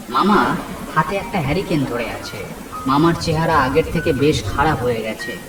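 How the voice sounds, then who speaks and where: A man with a slightly high voice tells a scripted ghost story in the first person. His delivery is dramatic and suspenseful. It sounds echoey and a little distant, with music underneath. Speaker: narrator. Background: background music.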